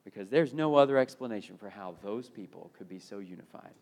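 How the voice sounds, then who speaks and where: A middle-aged American man preaching a sermon, emphatic and given to repeated phrases, moving between loud proclamation and hushed delivery. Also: lowish voice, lively intonation, a little fast.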